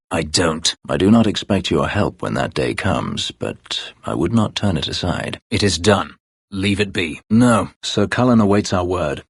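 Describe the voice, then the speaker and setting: An actor playing a brooding, bitter escaped elven slave warrior in a video game, guarded and proud, with a husky voice and terse, formal phrasing. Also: male voice, fairly bright tone, no echo, clean studio sound. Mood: menacing, resolute. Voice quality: husky, gravelly low voice. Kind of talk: dramatic video game dialogue.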